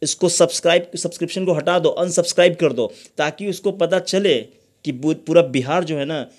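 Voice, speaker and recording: A man's voice: a little high, normal speed. A man asking pointed questions in an interview, pushing his points and checking for agreement. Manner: pressing, rhetorical, keeps asking "theek hai?". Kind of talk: interview chat.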